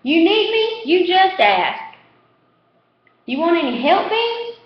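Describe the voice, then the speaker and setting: A woman's voice: extremely high pitch, big pitch swings, slow pace, heavy reverb, muffled recording, pitched above the performer's own voice, exaggerated voice. A woman voicing eager, grubby street boys calling out for work in a storybook.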